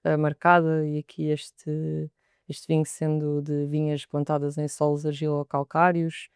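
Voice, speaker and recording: A woman's voice: sits low, completely flat delivery, measured pace, darkish tone, clear, resonant tone, dry recording, studio quality. A young woman with a European Portuguese accent, a sommelier answering interview questions thoughtfully, often repeating a word as she finds the next thought.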